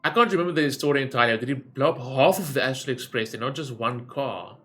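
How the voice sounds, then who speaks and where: Bright-voiced male game streamer. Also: mid-range voice, quick pace, soft background music. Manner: musing aloud, questioning and wry. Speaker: gaming streamer. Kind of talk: casual game reaction commentary.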